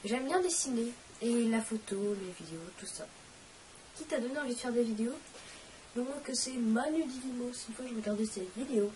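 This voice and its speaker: Teen girl vlogger with a cold, chatty and self-deprecating, recorded from across the room over a steady hiss. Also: fairly high pitch, brisk, recorded from a distance, low-quality recording. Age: teens. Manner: rambling, self-mocking, chatty. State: has a cold, coughing. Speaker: channel host. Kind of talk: casual vlog Q&A.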